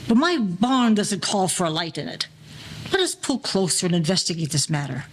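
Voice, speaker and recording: A man's voice: high-pitched, very animated, moderate pace, slight background noise. A man playing a cautious, practical gangster boss in a 1940s audio play, bossy, speaking in formal slang. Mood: assured. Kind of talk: audio play character acting.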